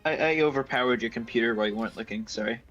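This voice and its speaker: A man's voice: mid-range voice, very fast, fairly bright tone, lo-fi sound. A man bantering casually as a player in a tabletop role-playing game. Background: music.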